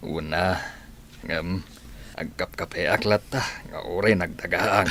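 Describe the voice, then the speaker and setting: An easygoing Filipino friend in an audio drama, acted by a man. His voice sits low, in a conversational delivery. There is clear noise behind the recording. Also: fairly even intonation, measured pace, fairly bright tone. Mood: friendly, teasing. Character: Tindo, easygoing male friend of an older groom.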